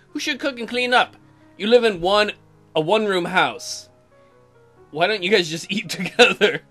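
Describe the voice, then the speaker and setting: A let's play host reads visual novel text aloud and cuts in with sarcastic, crude jokes. The voice is bright and animated, with soft music in the background. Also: medium pitch. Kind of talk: reading game text with joking commentary.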